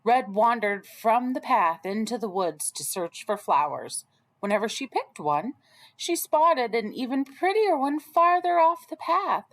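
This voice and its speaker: A woman reading a children's story aloud as an expressive storyteller. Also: fairly high pitch, a little slow.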